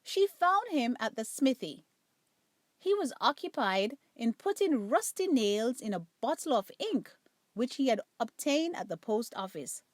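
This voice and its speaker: A woman reading a children's bedtime story aloud in expressive storybook narration. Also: fairly high pitch, unhurried, dry recording, studio quality.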